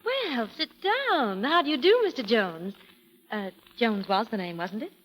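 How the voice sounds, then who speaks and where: A woman's voice: fairly high pitch, very animated, a bit bright, soft background music. Coy, sly showgirl, lilting and reverberant. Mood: coy, flattering. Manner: coy, teasing, drawn-out phrases. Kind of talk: 1940s radio acting. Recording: reverberant 1940s radio broadcast.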